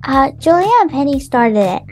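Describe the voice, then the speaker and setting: Woman as bossy, high-voiced little girl. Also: animated, moderate pace, darkish tone, soft background music. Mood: pleading. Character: bossy, tattling little girl in swimming class. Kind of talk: improvised game roleplay.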